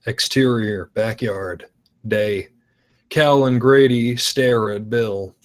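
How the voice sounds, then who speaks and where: Male script narrator, medium pitch, unhurried reading of stage directions.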